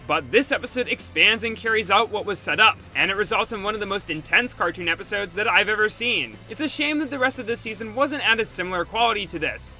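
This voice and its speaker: A man's voice: high-pitched, pushed and bright, poor audio. A man reading a scripted review as a video host, with music playing behind him. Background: background music.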